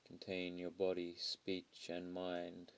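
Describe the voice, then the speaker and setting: Very slow, low-pitched solemn man. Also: completely flat delivery, gravelly. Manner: solemn, deliberate short declarative phrases. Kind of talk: dramatic spiritual monologue.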